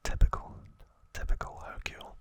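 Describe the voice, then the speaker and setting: A man hosting ASMR, narrating in a breathy, unvoiced whisper with a gentle, deliberate manner and frequent pauses. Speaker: ASMR channel host.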